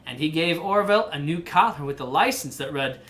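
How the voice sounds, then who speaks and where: A woman reading a children's storybook aloud in an animated style. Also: deep voice, fairly quick. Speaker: storybook reader.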